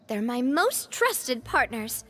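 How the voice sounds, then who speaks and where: A woman's voice: on the high side, sing-song, slow, fairly bright tone, full character voice. An actress playing a composed, caring young woman who leads a gang in an anime video game, music behind her. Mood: proud.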